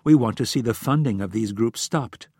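A man's voice: medium pitch, normal speed, vocal fry, dark tone, breathy edge, no echo, studio quality. A man voicing a composed, shrewd female American intelligence director in an audiobook, with a neutral, controlled manner. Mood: neutral, firm.

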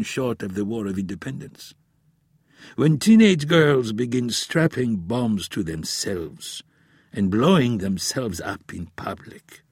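A man voicing a frail elderly male professor in an audiobook, with a raspy, slightly unsteady voice and a slow, grave, weary way of speaking. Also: medium pitch, a little mellow, no echo, clean studio sound. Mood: despairing. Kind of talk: audiobook character voice.

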